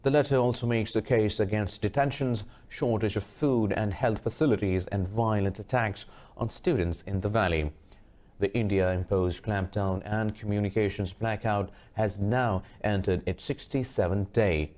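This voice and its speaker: Guy anchoring a news bulletin, lowish voice, formal and measured reading. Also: darkish tone.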